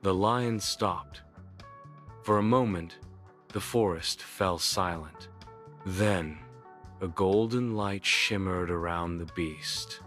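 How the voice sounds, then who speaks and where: Male narrator for bedtime tale: low voice, unhurried, solemn, formal, soft music bed. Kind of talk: scripted bedtime story narration.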